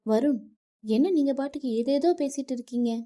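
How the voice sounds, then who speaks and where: A woman voicing an angry young wife who shouts at her husband and later pleads through tears. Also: mid-range voice, lively intonation, measured pace, no room sound, clean studio sound, voice pushed up, close to an everyday voice. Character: young wife, hurt and defiant.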